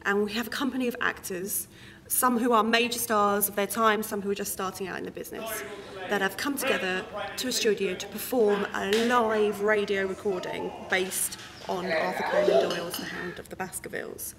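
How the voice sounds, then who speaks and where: A woman's voice: mid-range voice, fairly even intonation, moderate pace, a loud crowd. A woman from a theatre creative team explaining a production in a documentary interview. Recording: music playing behind the voice. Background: background music.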